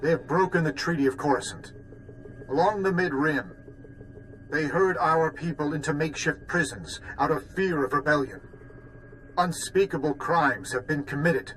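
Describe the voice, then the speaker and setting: Man as righteous leader, fairly high voice, solemn oratory, faint noisy ambience, game cutscene. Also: normal speed, a bit bright, natural voice. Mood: solemn, indignant. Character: grave, righteous male leader declaring war. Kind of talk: formal speech in game cutscene.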